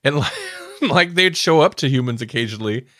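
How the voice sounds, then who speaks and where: A man co-hosting a podcast, talking casually and with an amused, animated manner. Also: mid-range voice, fairly quick, no room sound, studio quality. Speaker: podcast co-host reviewing audio dramas. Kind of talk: casual chat.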